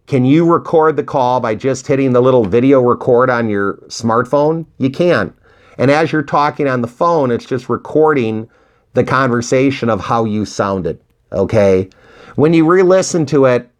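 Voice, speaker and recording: A man coaching beginners in a motivational tutorial style, with a folksy, emphatic manner, rhetorical questions and little acted-out examples. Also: mid-range voice. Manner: animated, acts out imagined dialogue; folksy, encouraging. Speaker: real estate investing coach. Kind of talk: motivational tutorial talk to viewers.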